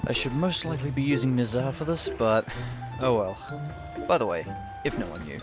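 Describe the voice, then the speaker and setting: A young man telling casual stories as a gaming host, animated and sweary, acting out his reactions. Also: mid-range voice, brisk. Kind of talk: casual storytelling over gameplay. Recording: music behind the voice. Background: music.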